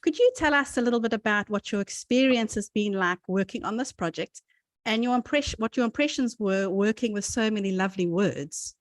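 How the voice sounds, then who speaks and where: A woman hosting a live book launch in a friendly, organised way as she introduces each speaker. Her voice has a mid pitch, and the sound is dry and close, with no room echo. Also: lively intonation, fairly quick. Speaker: author and event host.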